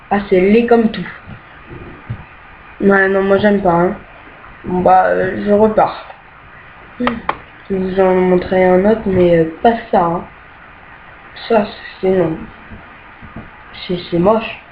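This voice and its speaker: Hesitant, slow-talking woman on a lo-fi mic. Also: mid-range voice, fairly even intonation. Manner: hesitant, many "euh" fillers, easily annoyed by bugs. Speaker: gamer showing her rooms. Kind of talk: casual screen-recorded game tour. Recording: dull lo-fi home mic with faint hiss.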